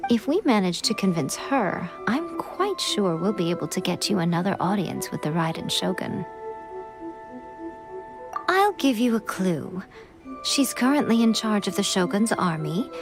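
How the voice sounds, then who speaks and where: A woman playing a sly, teasing, poised shrine priestess in an anime video game, speaking in a coy, deliberate way. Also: mid-range voice, big pitch swings, over music. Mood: sly, composed.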